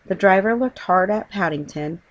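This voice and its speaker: A woman's voice: medium pitch, normal speed, dull audio. A woman reading a children's story as an audiobook narrator.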